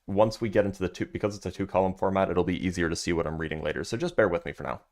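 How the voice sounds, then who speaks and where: A man with a very low, deep voice talks through a scientific paper on a casual livestream. He reads passages aloud, then drifts into loose, filler-heavy asides to his chat. The tone stays relaxed and conversational throughout. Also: very fast. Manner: loose and chatty, many fillers, answers chat. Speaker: livestream host reviewing a physics paper.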